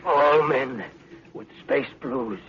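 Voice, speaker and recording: A man's voice: medium pitch, takes it slowly, lightly acted. A man playing a dying ship's doctor, weak and gasping, struggling to give a warning in 1950s radio style. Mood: dying, urgent. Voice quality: weak, gravelly. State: gasping, failing. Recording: muffled, faintly hissy 1950s radio recording.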